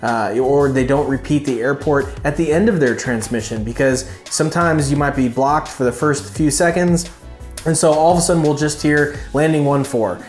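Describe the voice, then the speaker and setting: A man's voice: fairly high pitch, moderate pace, reverberant, faint music behind. A man in his thirties giving an informal, emphatic instructional talk to camera as a host, recorded on a lapel mic in a living room. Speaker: flight instructor and video host. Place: small furnished living room indoors.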